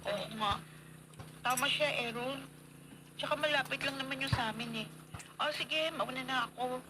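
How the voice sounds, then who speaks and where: An actress playing a meek, thrifty young student in a radio drama, polite and humble. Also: female voice, high-pitched, normal speed, lightly acted. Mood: resigned. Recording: very noisy radio drama broadcast.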